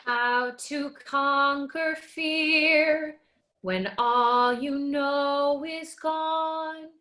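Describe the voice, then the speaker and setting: Woman as bright, high theatrical chorus figure. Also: fairly even intonation, extremely slow, soft background music, heavily acted. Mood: dark, mocking. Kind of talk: slow theatrical verse, musical number.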